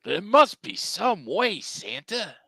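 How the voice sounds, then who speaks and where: Guy as plucky cartoon pac-man type, gravelly and bright, pleading, super animated. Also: high-pitched, slow, dry recording, heavily acted. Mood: eager. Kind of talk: live dramatic table read of a cartoon.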